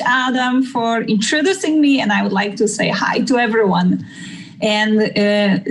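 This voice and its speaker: A woman from Poland, Polish native, speaking English with a Polish accent, giving a halting business presentation over a video call. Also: on the high side. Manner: halting, restarts sentences. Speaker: guest presenter.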